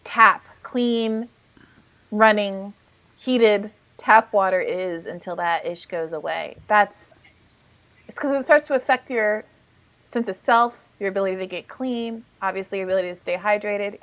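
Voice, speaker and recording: A woman in casual panel talk, chatty and animated, with lots of fillers and restarts. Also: medium pitch, moderate pace, rough recording. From lived in Kenya as a child.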